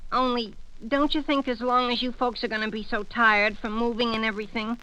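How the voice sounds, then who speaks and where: A sheepish, put-upon teenage American boy in a 1940s radio comedy. He sounds high, bright and clear, with a plaintive, hedging delivery. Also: level tone, brisk, rough recording.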